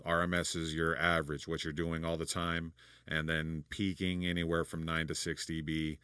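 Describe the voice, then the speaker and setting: A man with a deep voice explaining vocal recording in a relaxed, rambling tutorial, full of fillers and restarts. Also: clear, resonant tone, no room sound, clean studio sound. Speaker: recording engineer and producer. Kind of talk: casual studio tutorial.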